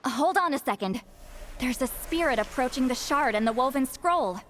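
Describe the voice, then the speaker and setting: A woman playing a youthful-sounding, knowing granny shaman in a video game cutscene, speaking in a measured, mystical storytelling manner. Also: high voice, expressive, a bit bright, slight background noise. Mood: focused, composed. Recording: faint music behind the voice.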